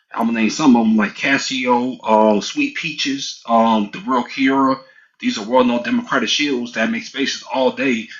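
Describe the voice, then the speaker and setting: A man's voice: mid-range voice, a bit bright. Middle aged guy ranting in an online room, pushy, rambles and repeats himself, dull muffled mic. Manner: assertive, rambling, repetitive. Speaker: audio room speaker. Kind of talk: casual heated argument.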